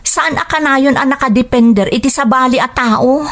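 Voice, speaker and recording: A woman's voice: high voice, moderate pace, close to an everyday voice. A woman playing a dutiful but determined young working daughter in a radio drama, earnest and pleading, with an Ilocano Filipino accent. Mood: pleading, frustrated.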